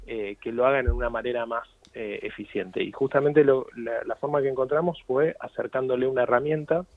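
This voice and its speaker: An Argentine man with an Argentine accent, giving measured, explanatory answers as a guest in a radio interview. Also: medium pitch, dry recording. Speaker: guest, company CEO. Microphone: phone. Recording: tinny phone line.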